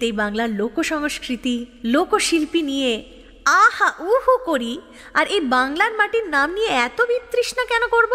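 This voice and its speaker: Exasperated, very high-voiced young woman. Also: heavily acted. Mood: chatty, wry. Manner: animated, playfully complaining. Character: exasperated, chatty young woman narrating her love life. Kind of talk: dramatized audio story narration. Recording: long reverb, music behind.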